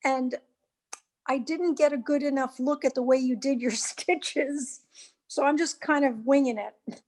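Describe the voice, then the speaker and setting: A woman's voice: sits high, fairly even intonation, measured pace, a little mellow. A woman chatting casually as a participant in a group conversation. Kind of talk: casual chat.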